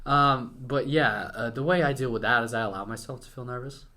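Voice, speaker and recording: A man's voice: medium pitch, a little fast, sharp tone. A young man from Western Ukraine, a native Ukrainian speaker, chatting casually and informally as a podcast guest. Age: young adult. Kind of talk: casual conversational interview.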